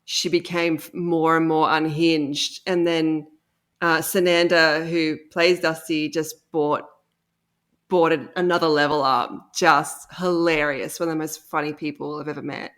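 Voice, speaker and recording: A woman with a slightly low voice, talking as a podcast guest about creative work. Her way of speaking is casual, appreciative and effusive. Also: level tone, a little slow, a bit bright. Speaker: interview guest, audio drama co-creator. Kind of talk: casual interview chat.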